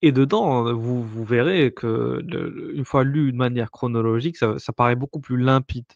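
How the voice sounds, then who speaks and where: A man's voice: medium pitch, normal speed, gravelly, no echo. A man explaining religious rules in a casual discussion, hesitant, with many fillers and restarts. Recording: dull lo-fi sound, dry.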